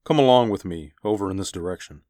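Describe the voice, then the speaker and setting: A man as a brisk, low-voiced military pilot. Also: animated, darkish tone, no echo, clean studio sound. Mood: firm. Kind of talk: audiobook character dialogue.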